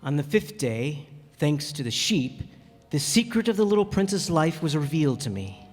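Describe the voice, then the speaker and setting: Man acting a stranded pilot, higher-pitched voice. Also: sing-song, normal speed. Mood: reflective. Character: adult male stranded pilot, reflective narrator, easily flustered. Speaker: narrator and character. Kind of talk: radio drama acting and narration. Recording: long hall reverb, music behind.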